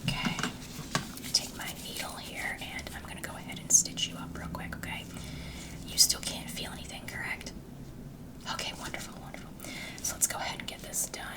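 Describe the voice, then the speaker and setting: A woman doing an ASMR doctor roleplay, speaking gently and reassuringly to a patient in soft whispers. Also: a little fast, recorded from a distance, poor audio.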